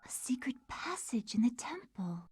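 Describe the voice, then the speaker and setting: An actress playing a restless, wistful young woman in a video game, musing to herself in a breathy near-whisper. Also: fairly high pitch, very animated, moderate pace, darkish tone, heavily acted. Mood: wistful, bored. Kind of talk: video game cutscene acting.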